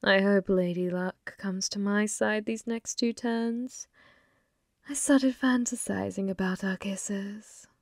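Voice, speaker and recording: A woman voicing a flirty, possessive female demon gambler in an ASMR roleplay, breathy and often whispering, teasing with sweet menace. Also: mid-range voice, steady tone, a little mellow, no echo, studio quality. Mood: teasing, playful. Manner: slow, teasing, calls listener love. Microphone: close microphone, dry.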